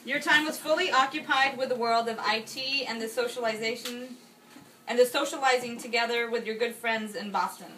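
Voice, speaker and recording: Higher-voiced woman reading a toast, noisy recording. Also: fairly even intonation, fairly quick, bright, forceful tone. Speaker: toast reader at a birthday party. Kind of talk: reading a speech aloud with casual asides. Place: indoor house party in a kitchen. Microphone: handheld phone camera a few feet away. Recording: noisy party, phone mic at a distance.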